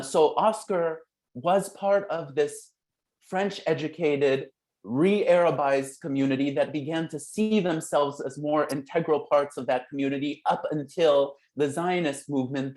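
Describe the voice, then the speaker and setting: A young man with a fairly high voice talks as a guest author in a fireside-style conversation. He thinks aloud, pausing on fillers and restarting his sentences as he works through his ideas. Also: steady tone, a little mellow. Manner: halting, reflective, many fillers and restarts. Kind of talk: conversational talk.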